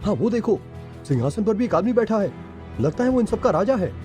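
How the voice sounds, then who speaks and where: Man acting an animated, high-voiced cartoon sidekick. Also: a little fast, soft background music. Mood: alarmed. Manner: animated, earnest.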